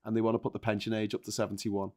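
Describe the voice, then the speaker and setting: A man's voice: fairly low pitch, little pitch movement, very fast, warm tone, a little hiss or hum. A middle-aged man from Liverpool with a Liverpool accent, chatting casually with wry jokes and anecdotes. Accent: Liverpool English. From Liverpool, England. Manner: joking, wry, sweary. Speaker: panelist on a live online chat show. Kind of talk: casual political banter and anecdotes.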